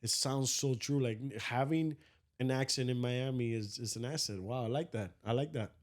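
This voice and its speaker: A man from Cuba with a Cuban accent, hosting a podcast and reacting warmly, calling his guest bro. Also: medium pitch, normal speed. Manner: friendly, affirming, says bro a lot. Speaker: podcast host. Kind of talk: casual interview talk.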